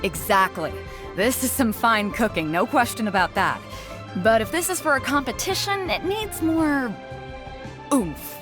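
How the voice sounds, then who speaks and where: A woman's voice: on the high side, expressive, measured pace. A hearty swaggering woman sea captain for a game, voice up n down, faint music behind. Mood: frank. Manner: boisterous, blunt, swaggering. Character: bold, hearty adult female sea captain who likes drink. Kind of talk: animated video game character acting.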